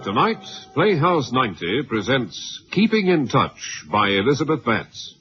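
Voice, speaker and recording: Animated radio announcer man over music. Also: medium pitch, a little slow. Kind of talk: scripted radio drama introduction. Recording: dull old radio broadcast with music.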